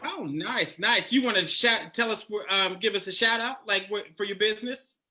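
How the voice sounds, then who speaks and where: High, bright-voiced playful male host. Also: moderate pace. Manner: playful, laughing, upbeat. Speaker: dating coach host. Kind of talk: casual video-call chat.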